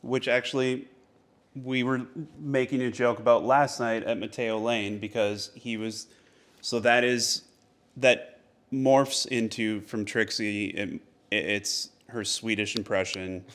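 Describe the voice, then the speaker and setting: A man chatting in a relaxed, dry way with his partner on a vlog. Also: on the low side, slow pace. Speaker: vlogger's partner. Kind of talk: casual chat.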